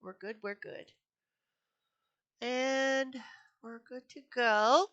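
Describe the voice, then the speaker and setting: High, slow-talking sniffly crafting woman. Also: fairly even intonation, a bit bright, clean tone, dry recording, dull audio. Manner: chatty, repeats herself, many fillers. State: sniffly from allergies. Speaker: craft channel host. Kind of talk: casual crafting tutorial. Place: home craft room.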